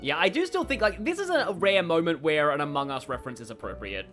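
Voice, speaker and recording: A woman streamer giving casual live game commentary, chatty and excitable, stammering as she goes. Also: sits low, quick pace, faint music behind.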